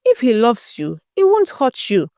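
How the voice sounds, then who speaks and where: A woman storyteller voicing a kind, patient married woman with a gentle, motherly, advising manner. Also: fairly high pitch, huge pitch swings, a little slow, dry recording, clean studio sound, full character voice.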